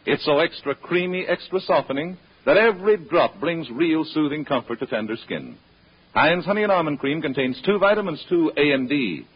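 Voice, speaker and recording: An American man reading an old-time radio advertisement as announcer, persuasive and carefully enunciated. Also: fairly high pitch. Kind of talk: 1930s-40s radio advertisement read. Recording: muffled, husky-sounding old radio recording.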